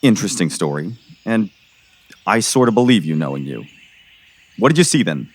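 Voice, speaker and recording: Gruff middle-aged sheriff, played by a man: low, animated delivery, skeptical and pushy, audio drama. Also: moderate pace. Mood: pressing, stern. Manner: exasperated, sarcastic, pressing interrogator.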